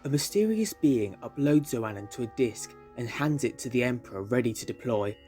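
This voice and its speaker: Narrator for audio drama: man, mid-pitched voice, scripted read over a musical bed. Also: animated, fairly quick, dark tone. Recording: music under the voice.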